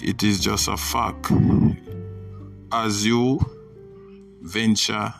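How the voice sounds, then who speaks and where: A man with a Jamaican accent hosting a podcast, giving a casual, reflective motivational monologue with frequent pauses and "you know". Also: fairly low pitch, steady tone, creaky, fairly bright tone. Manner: reflective, pausing often, repeats "you know". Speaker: podcast host. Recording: distant mic with music behind.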